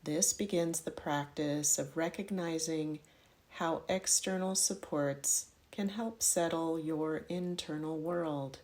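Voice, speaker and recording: A woman guiding a yoga nidra meditation in a measured, instructional way, offering gentle directions. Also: low voice. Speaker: meditation guide.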